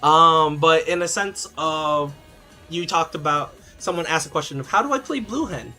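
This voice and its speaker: A man's voice: on the high side, moderate pace, bright, forceful tone, soft background music. A man streaming a game, answering chat questions casually with plenty of fillers and restarts. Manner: rambling, many fillers and restarts.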